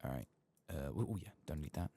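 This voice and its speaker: A soft-spoken man giving unhurried ASMR gameplay commentary, murmuring to himself and sometimes dropping toward a whisper, close to the microphone. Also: very deep voice, lively intonation, slightly rough. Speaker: gaming streamer.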